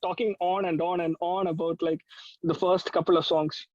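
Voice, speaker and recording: A young man with a distinctly high voice and an Indian accent chats informally, repeating points for emphasis. The recording is dry and a bit dull, with little room sound. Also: level tone, normal speed, darkish tone, dry recording. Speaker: music producer, interview guest. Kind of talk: casual chat.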